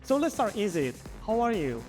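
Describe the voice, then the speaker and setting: Male interviewer with a fairly high voice, effusive thanks and halting questions, faint music behind. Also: sing-song, darkish tone. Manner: friendly, repeats thanks, halting questions with you know. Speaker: festival interviewer. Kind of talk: festival interview.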